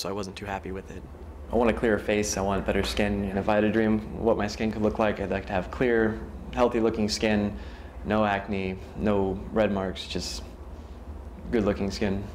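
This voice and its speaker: A man in his twenties from Arizona giving a relaxed, casual testimonial, with lots of "you know". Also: fairly low pitch, a little hiss or hum. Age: 20s. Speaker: client testimonial.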